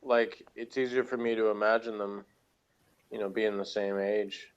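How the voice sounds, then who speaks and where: A man's voice: lowish voice, steady tone, moderate pace, smooth, muffled recording. A man chatting casually as a guest on an online call, with dry, teasing banter. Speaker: guest co-host. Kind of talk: casual chat. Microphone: online video call.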